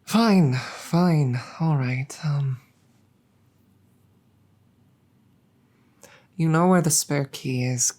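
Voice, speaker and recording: A woman voicing an embarrassed, affectionate dragon girlfriend in a roleplay audio drama, speaking formally and apologetically with many halting stammers. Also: low-pitched, mellow. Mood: resigned, self-loathing.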